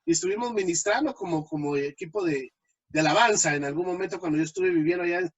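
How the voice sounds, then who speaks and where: High husky-voiced man hosting an interview. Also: quick pace, highs cut off. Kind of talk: conversational interview.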